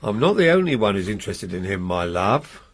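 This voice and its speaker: An actor playing a genial, slightly self-important middle-aged husband in a radio drama. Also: male voice, lowish voice, big pitch swings, fairly quick, breathy edge, no echo. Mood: chatty. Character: middle-aged husband, homely and fond of gossip.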